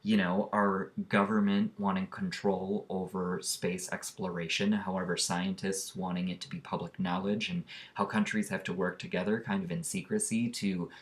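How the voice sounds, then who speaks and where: A man's voice: deep voice, little pitch movement, normal speed, few pauses. A man vlogging casually, talking in an enthusiastic, rambling, earnest way as he recommends a movie.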